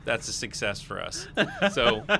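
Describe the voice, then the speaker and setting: A man's voice: lowish voice, steady tone, a little slow, creaky, sharp tone. A man co-hosting a podcast, joking and bantering in casual chat. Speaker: podcast co-host.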